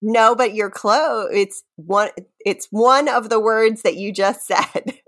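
Slow-paced, giggly female podcast co-host. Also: on the high side, a bit bright. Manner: giggly, teasing. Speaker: podcast co-host running a guessing game. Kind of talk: casual playful chat with dramatic readings of song lyrics.

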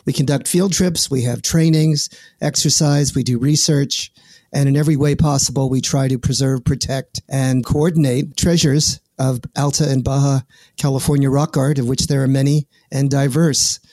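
A man hosting a podcast, folksy and energetic, often restarting his words, with a dry close-mic sound. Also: medium pitch, normal speed, no room sound. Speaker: podcast host. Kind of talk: casual interview with promo reads.

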